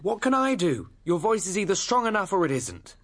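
Troubled young composer, British, lovesick and evasive, husky and very animated, radio play. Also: male voice, sits high. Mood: agitated, evasive. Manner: halting, repeats himself when agitated. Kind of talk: broadcast radio drama acting.

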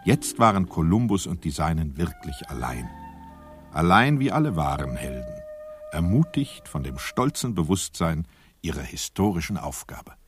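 Very deep, animated male narrator. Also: moderate pace, soft background music. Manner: solemn, mock-heroic. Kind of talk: scripted dramatic narration for a satirical radio play.

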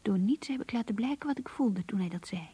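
A woman's voice: mid-range voice, lively intonation, brisk, dark tone, husky, no echo. An actress playing an earnest, melancholy young office clerk reading her diary in a radio drama, introspective and subdued. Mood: wistful, resigned.

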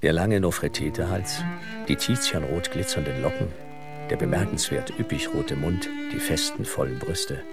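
A man's voice: extremely low pitch, normal speed, over loud music. A man delivering scripted literary narration in a radio play.

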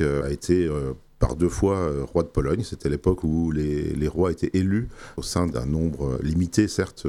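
A man's voice: a bass voice, warm tone. A man from France, president of a cultural association, answering radio interview questions with many hesitations and fillers.

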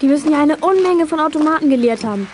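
A woman's voice: sits high, expressive, fast, a little hiss or hum. A female performer playing a spirited, sceptical girl detective in a 1980s children's audio drama. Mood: excited, indignant.

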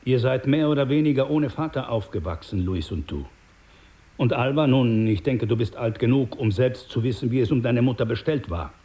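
Casting: man playing older paternal crime boss, radio drama. Mellow, measured, condescending, quietly menacing. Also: mid-range voice, expressive, lightly acted. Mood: patronising, measured. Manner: condescending, measured, smooth-talking.

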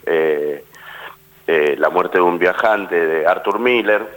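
An actor giving a relaxed radio interview in an Argentine accent. The man's voice sits fairly low. He often restarts and repeats words while he works out a thought. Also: slow. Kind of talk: casual radio interview talk.

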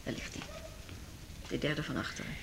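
An actress playing a forthright, sceptical hospital nurse in a radio play, brisk and plain-spoken. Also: female voice, lightly acted. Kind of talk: Dutch radio play acting. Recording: clearly noisy radio play recording.

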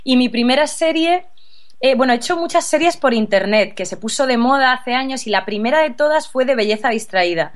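A woman's voice: high voice, brisk. A woman in her thirties from Spain, answering interview questions casually with frequent laughs and restarts. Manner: relaxed, laughs often, restarts sentences. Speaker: interview guest, actress.

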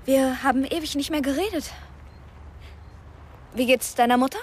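Actress as a high-voiced, chatty girl. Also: moderate pace, a little hiss or hum, close to an everyday voice. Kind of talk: naturalistic radio drama dialogue.

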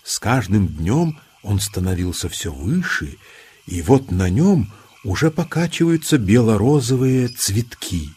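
A man narrating a scripted children's tale as an expressive storyteller. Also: on the low side, measured pace, breathy edge. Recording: music under the voice. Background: music.

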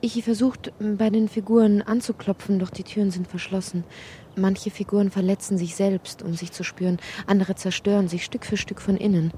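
A woman playing a whimsical narrator in a literary radio play, with a husky voice. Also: medium pitch, steady tone, moderate pace, warm tone, a little hiss or hum, close to an everyday voice. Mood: wistful, searching.

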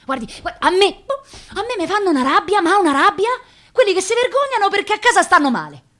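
A woman's voice: very high-pitched, big pitch swings, fast, sharp tone, exaggerated voice. An actress playing a proud, touchy young working-class woman with a Roman accent, talking colloquially and emotionally in a radio play. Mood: indignant, agitated. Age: young adult. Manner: colloquial, repeating words, emotional. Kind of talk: theatrical radio drama monologue.

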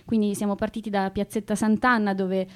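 A woman's voice: mid-range voice, flat delivery, a little fast. A woman speaking as a theatre performer in a radio talk, explaining things in an articulate, orderly way. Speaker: theatre performer discussing collective's work.

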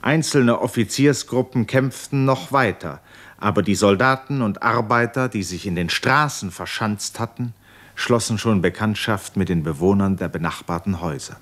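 Guy narrating an old radio play, fairly deep voice, measured and serious, scripted literary reading. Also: a bit bright. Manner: measured, sober.

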